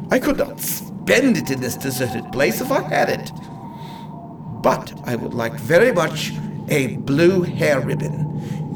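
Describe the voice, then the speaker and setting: A man's voice: fairly high pitch, distant mic, background noise. A man playing an eccentric, chatty inventor and salesman in a radio play, courteous and theatrically proud. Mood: eager. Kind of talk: amateur radio play acting.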